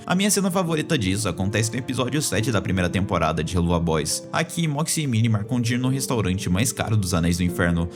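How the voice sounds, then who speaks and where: A Brazilian man narrating a scripted video essay in a casual, opinionated, explanatory way. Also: fairly low pitch. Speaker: YouTube video essayist on cartoons.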